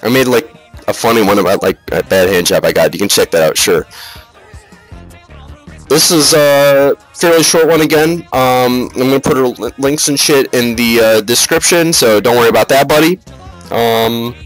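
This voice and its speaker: A man rambles through a casual comedic review as the host of the video. His delivery is halting, sweary and mock-serious, and faint music plays behind him. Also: mid-range voice, low-quality recording. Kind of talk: casual comedic slideshow commentary.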